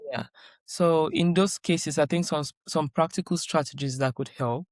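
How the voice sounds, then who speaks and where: A woman guest in a conversational interview, reflective and calm, repeating her key phrases for emphasis. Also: deep voice, normal speed, dry recording. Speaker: guest, doctoral researcher.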